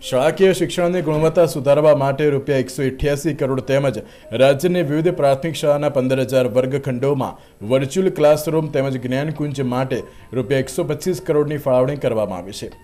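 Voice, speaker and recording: A man's voice: mid-range voice, fairly quick, warm tone. A man reading scripted news narration as a voice-over. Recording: music bed under voice-over. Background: music.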